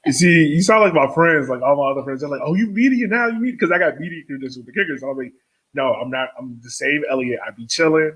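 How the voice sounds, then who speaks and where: A man's voice: fairly high pitch, quick pace, a bit bright, breathy edge, low-quality recording. A man hosting a podcast interview in a casual, laid-back way with informal slang. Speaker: podcast host.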